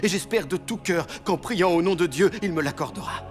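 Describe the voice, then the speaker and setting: An actor playing an impulsive son of a biblical patriarch, theatrical and expressive, in a cartoon dub. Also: male voice, sits high, a little fast, soft background music, lightly acted. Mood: remorseful. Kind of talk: dubbed biblical cartoon acting.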